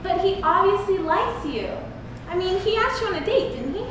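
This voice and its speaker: A woman's voice: extremely high pitch, big pitch swings, moderate pace, recorded from a distance. A woman acting a supportive, practical girl who questions her friend in an amateur stage play. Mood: probing. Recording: echoey hall from afar, noisy.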